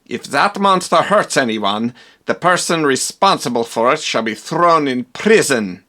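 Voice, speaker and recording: A stiff, officious male police inspector, played by a man, who speaks in slow, clipped phrases that carry a quiet threat. His pitch rises and falls broadly as he interrogates. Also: expressive. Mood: stern.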